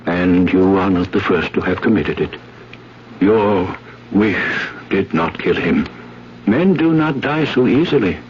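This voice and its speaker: A grave, deep-voiced stern priest. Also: male voice, animated, a little hiss or hum. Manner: solemn, deliberate, declamatory. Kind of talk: 1970s radio drama acting. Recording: dull, humming 1970s radio recording.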